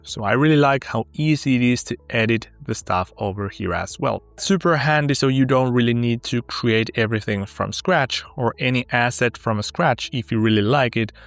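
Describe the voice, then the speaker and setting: A man whose first language is Finnish, upbeat and walking step by step through a sponsored software tutorial. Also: medium pitch, no room sound. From Finland. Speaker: tech tutorial host.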